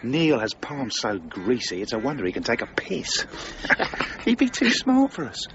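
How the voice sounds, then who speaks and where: Glib flattering schemer, cocky and animated, period radio drama with some noise. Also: male voice, mid-range voice, a little slow, lo-fi sound. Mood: cocky, scheming. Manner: smooth-talking, cocky, ingratiating. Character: glib, swaggering male con man, flattering schemer.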